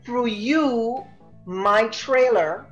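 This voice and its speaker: A woman's voice: on the high side, very slow, lo-fi sound, soft background music. A woman hosting a podcast interview, speaking effusively and full of praise for her guest. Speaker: podcast host. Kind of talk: interview conversation.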